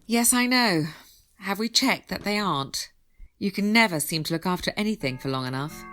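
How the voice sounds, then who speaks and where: A woman playing a nagging, sharp-tongued wife with a bossy, biting manner in a radio drama. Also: medium pitch, animated, normal speed, slight background noise. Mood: exasperated.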